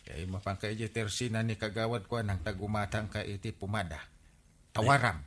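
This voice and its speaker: A man's voice: on the low side, steady tone, fairly quick, fairly bright tone, lightly acted. A man playing a bossy, thrifty uncle handing out errands in a comedy radio drama.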